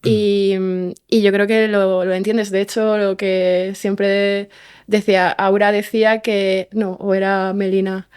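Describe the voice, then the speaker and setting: A woman's voice: mid-range voice, flat delivery, slow pace, darkish tone, clean tone. A woman talking casually as an interview guest, informal and often seeking agreement with little tag questions. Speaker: guest, fiction podcast writer.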